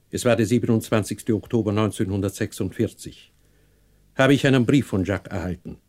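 A man acting a stern, proud, unforgiving older patriarch in a German radio play, cold, sarcastic and measured. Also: fairly low pitch, darkish tone, close to an everyday voice.

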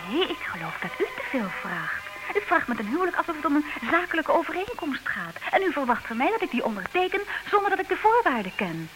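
A woman's voice: high-pitched, very animated, quick pace, hardly any pauses. A woman acting in a comedic radio play as a frank, romantic, politely argumentative woman, speaking in an articulate, theatrical way. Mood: dreamy, frank. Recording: noisy, hissy old radio recording.

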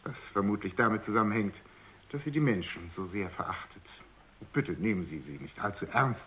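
An actor playing a skeptical, sardonic research scientist in a radio drama, wry and argumentative. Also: male voice, fairly low pitch, lively intonation, fairly quick, a bit bright. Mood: wry, concerned. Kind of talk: German radio drama acting. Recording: muffled, dull radio drama recording.

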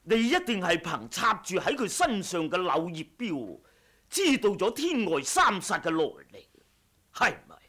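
Man acting a husky, ruthless wuxia assassin. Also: high-pitched, very animated, unhurried, bright, forceful tone, heavily acted. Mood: probing. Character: ruthless male wuxia assassin, cold and haughty. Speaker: audiobook voice actor. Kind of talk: Cantonese martial-arts radio drama acting.

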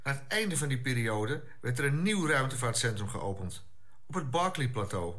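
Actor as formal space commander, bright male voice. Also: medium pitch, very animated. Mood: stern, matter-of-fact. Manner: formal, measured, official. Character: formal male space-agency commander questioning returned astronauts. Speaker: actor in a science fiction audio drama. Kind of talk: radio play acting.

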